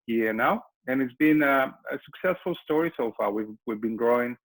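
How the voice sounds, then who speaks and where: A man's voice: mid-range voice, normal speed, poor audio. A middle-aged man from Spain with a Spanish accent, presenting a webinar as a company founder and often restarting and repeating his words. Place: Melbourne.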